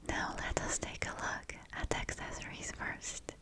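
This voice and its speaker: Female ASMR presenter for an unboxing, entirely whispered and breathy, deliberate delivery. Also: pushed and bright. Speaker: ASMR video creator presenting a product unboxing.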